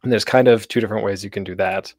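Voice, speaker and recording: A man's voice: on the low side, steady tone, fast, dry recording, clean studio sound. A man giving an informal, conversational instructional talk as a presenter, with a self-deprecating manner.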